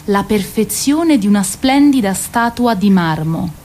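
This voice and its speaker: A woman's voice: medium pitch, lively intonation, unhurried, darkish tone, slight background noise, lightly acted. Radio drama: actress as weary, proud waiting queen of myth, mid-range voice, theatrical delivery with bitter irony. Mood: ironic. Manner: declamatory, ironic, lingering on repeated words.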